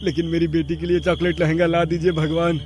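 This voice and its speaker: Man acting an anxious, high-voiced devoted father. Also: level tone, fairly quick, over music, close to an everyday voice. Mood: tearful pleading. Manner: emotional, pleading. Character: anxious, devoted middle-aged father, humble and pleading. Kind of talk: dramatic Hindi animated story acting.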